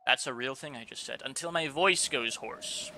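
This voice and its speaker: A man's voice: mid-range voice, moderate pace, noisy background. A man streaming live, chatting casually and rambling with lots of fillers and restarts.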